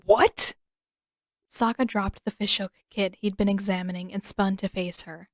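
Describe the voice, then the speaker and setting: A woman narrates a story in an expressive, measured way. Her voice sits at a medium pitch, and the recording is very dry. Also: no echo. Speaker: audiobook narrator.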